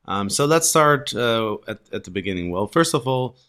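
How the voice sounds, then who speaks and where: A man's voice: lowish voice, lively intonation, normal speed, bright, forceful tone, clear, resonant tone. A man hosting a casual podcast interview, talking loosely with many fillers. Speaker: podcast host. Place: in a room in a city abroad.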